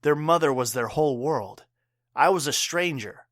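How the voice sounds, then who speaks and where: A man's voice: a little high, lively intonation, moderate pace, dry recording, clean studio sound, natural voice. An actor playing a proud, wounded war veteran father and bartender in an audio drama, speaking earnestly and tersely. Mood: pained, proud.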